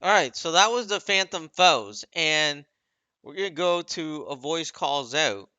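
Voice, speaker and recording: Bright-voiced man, dry close sound. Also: a little high, expressive, measured pace, clear, resonant tone, no echo, lo-fi sound. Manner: conversational, explanatory, rambling asides. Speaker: video maker commenting on game cutscenes. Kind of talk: casual off-the-cuff game commentary.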